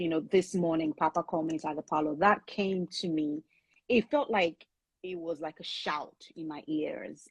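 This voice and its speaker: A woman's voice: lowish voice, breathy edge, dry recording, low-quality recording. A woman whose first language is Yoruba, speaking as an author in an interview, thoughtful and earnest, with small restarts as she explains her writing. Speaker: author and guest. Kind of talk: conversational interview.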